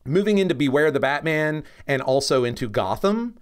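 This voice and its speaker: A man's voice: on the high side, lively intonation, moderate pace, smooth. A man co-hosting a podcast, explaining casually and with opinions, often checking in with the listener. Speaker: podcast co-host and comics expert.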